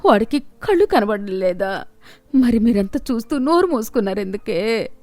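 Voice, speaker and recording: Woman acting a high-voiced, outspoken poor village woman. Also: very animated, moderate pace, a little mellow, dry recording. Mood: indignant. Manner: plaintive, emphatic, homely scolding.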